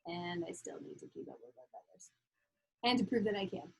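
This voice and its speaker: A woman's voice: medium pitch, a little fast, slight background noise, low-quality recording. A woman introducing herself in a hesitant, teasing way. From Idaho, USA. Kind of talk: casual team introduction.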